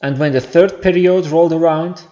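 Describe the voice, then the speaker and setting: A man's voice: on the high side, steady tone, moderate pace, dark tone, lo-fi sound. A man who is not a native English speaker, reading a game story as an amateur narrator. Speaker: amateur voice-over playthrough creator.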